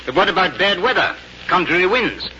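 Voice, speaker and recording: A man's voice: sits high, animated, a little fast, bright, forceful tone, lo-fi sound, heavily acted. Radio play part: argumentative clubman, strident tone, faintly hissy vintage broadcast. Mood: skeptical, challenging. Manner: argumentative, incredulous. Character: blustering, skeptical English club gentleman and card player. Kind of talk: 1950s radio acting.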